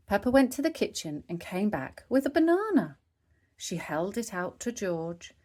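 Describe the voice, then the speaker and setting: A woman reading a children's story aloud, with expressive narration phrased for young listeners. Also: mid-range voice, normal speed. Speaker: storybook reader.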